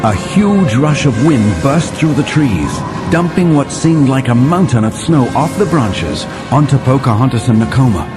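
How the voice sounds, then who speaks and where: A man plays a settler telling a children's tale of winter adventure. His mid-pitched voice swings widely and lingers on dramatic pauses, with music playing behind him. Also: lightly acted. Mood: dramatic, awed. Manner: animated, vivid storytelling with dramatic pauses. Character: male settler storyteller recounting a winter adventure to John. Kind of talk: dramatic children's story narration.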